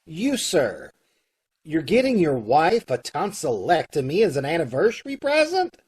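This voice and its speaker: A man's voice: a little high, huge pitch swings, a little slow, darkish tone, husky, exaggerated voice. A man playing a flustered middle-aged loan officer in an old-time radio comedy, repeating himself, stammering and laughing in exasperation, heard over a video call. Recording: distant, uneven video call audio.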